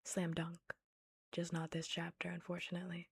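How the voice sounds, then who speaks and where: Whispering young woman, breathy and gravelly. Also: on the low side, completely flat delivery, normal speed, dry recording, clean studio sound. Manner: whispered, chatty with frequent "like". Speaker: book reader. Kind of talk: whispered ASMR book reading and chat.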